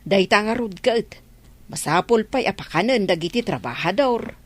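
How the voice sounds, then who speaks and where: A woman's voice: medium pitch, animated, moderate pace, natural voice. A woman with a Filipino Ilocano accent playing a firm, plain-spoken, hardworking old mother and grandmother in a radio drama. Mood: practical.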